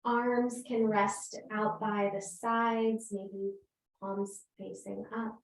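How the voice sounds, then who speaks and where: Woman teaching restorative yoga, takes her time, gentle, roomy sound and a bit far from the mic. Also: medium pitch, flat delivery, slight background noise. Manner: gentle, unhurried, invitational. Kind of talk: slow guided restorative yoga instruction. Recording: roomy echo, distant microphone.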